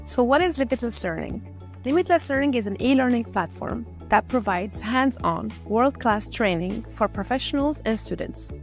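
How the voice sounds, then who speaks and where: A woman's voice: a little high, animated, moderate pace. Algerian woman, scripted promo over music. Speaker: founder presenting an e-learning platform. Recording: music behind the voice.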